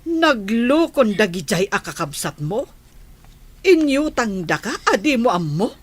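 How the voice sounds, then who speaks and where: A woman's voice: fairly high pitch, sing-song, measured pace, breathy edge, heavily acted. A woman playing an insistent village auntie who lends money, in a radio drama. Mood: nosy, insistent. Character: village auntie, money lender chasing a debt.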